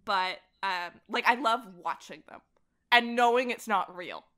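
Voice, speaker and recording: A woman's voice: medium pitch, unhurried, a bit bright. A woman chatting casually and enthusiastically as a guest on a podcast. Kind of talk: casual chat.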